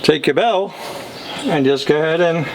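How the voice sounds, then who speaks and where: A man's voice: a little high, expressive, moderate pace. A man giving a casual, step-by-step product walkthrough in an instructional way. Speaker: product demonstrator. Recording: echoey room with faint noise.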